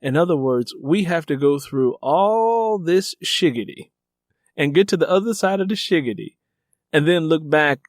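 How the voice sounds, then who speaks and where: A man's voice: fairly high pitch, expressive, moderate pace, no echo, studio quality. A man hosting a book interview podcast, talking conversationally and reflectively, often repeating and rephrasing for emphasis.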